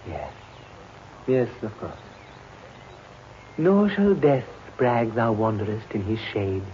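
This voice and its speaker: Scheming young husband, vintage radio drama: ingratiating, theatrical, highly animated intonation, dull period sound. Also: male voice, slow pace. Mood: dreamy, romantic. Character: smooth-talking scheming young bridegroom, falsely devoted husband. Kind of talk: 1950s radio drama acting. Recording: muffled, noisy old radio recording.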